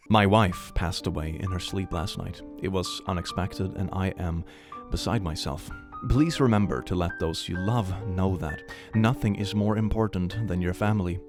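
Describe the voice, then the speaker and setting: A man's voice: deep voice, fairly even intonation, moderate pace, a little mellow, soft background music. A man from Sweden doing comedic reaction commentary, reading posts aloud with mock drama and sarcastic, incredulous asides. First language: multilingual, not native English. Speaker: YouTube commentary host.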